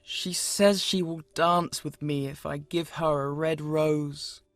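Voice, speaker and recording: Man acting self-pitying young male student, raised pitch, slow plaintive delivery, storybook, music underneath. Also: steady tone, a bit bright, no echo. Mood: forlorn. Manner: plaintive, earnest lamenting.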